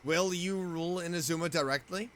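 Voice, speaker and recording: A woman streaming a game, giving casual, informal and expressive reactions. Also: on the low side, brisk.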